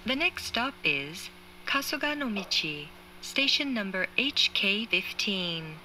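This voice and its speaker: A woman reading scripted train announcements in a formal, measured manner, as heard inside a carriage. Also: mid-range voice, big pitch swings, bright, forceful tone. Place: train carriage speaker, train ambience behind. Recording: faint train noise, recorded inside carriage.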